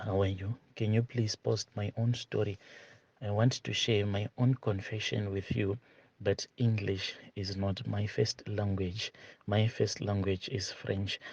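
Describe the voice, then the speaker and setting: A man's voice: lowish voice, a little mellow, no room sound. A man hosting a storytelling channel, reading a listener's confession aloud in a plain first-person retelling with repeated asides. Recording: dull lo-fi dry audio.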